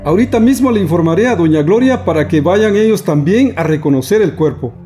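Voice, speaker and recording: A composed, official mayor of a small town in a radio soap opera. His voice is high for a man, with music behind it. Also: expressive, a little fast, mellow. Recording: radio drama with music underneath.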